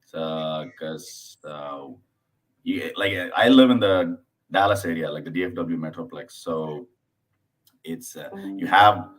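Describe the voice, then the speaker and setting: A man from southern India in his thirties, a stand-up comedian chatting casually as a podcast guest, halting with many fillers and restarts. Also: a bass voice. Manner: acts out his mother's voice in anecdotes.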